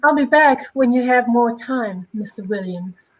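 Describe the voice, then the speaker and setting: A woman's voice: fairly high pitch, animated, unhurried, dull audio. A woman playing a cool, aloof townswoman with clipped, evasive politeness in a dramatic comic-book reading. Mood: coolly evasive.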